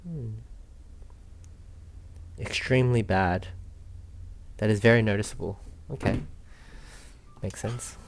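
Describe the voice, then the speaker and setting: Slow-paced man commenting on a game. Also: lowish voice, slight background noise. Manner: musing aloud, reacting to the game. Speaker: let's player. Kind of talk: casual gameplay commentary.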